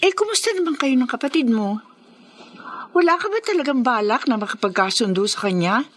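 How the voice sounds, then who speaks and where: Radio drama casting: supportive fiancée, highish voice, lively inflection, counselling manner. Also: female voice, a little fast. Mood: concerned, caring. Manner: concerned, counselling. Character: caring, gently advising fiancée.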